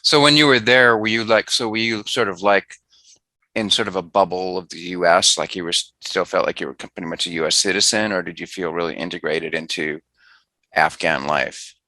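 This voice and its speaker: Chatty male interviewer, deep gravelly voice, dry close sound. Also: brisk, sharp tone, dry recording. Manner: rambling, chatty questions with restarts. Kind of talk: casual interview.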